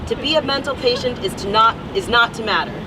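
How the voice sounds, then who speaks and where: Distant, high-voiced woman reading outdoors. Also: lively intonation, fast. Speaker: protest participant reading a poem. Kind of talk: public poetry reading at a protest. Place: outdoors at a street protest. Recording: distant outdoor mic, clear traffic noise.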